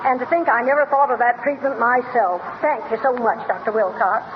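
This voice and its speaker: A muffled, noisy 1930s radio recording carries a grateful housewife. The voice is extremely high and gushes with admiration, in the manner of an old advertising skit. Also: male voice, fairly even intonation, a little fast. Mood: gushing. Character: gushing grateful housewife patient.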